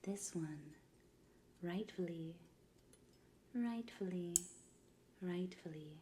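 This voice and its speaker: A woman playing a mocking, menacing pirate queen in a soft-spoken ASMR roleplay, breathy and often whispering close to the mic, drawing out and repeating her words. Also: on the low side, slight background noise. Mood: possessive. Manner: slow, drawn-out, repeating words, theatrical. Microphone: close ASMR microphone.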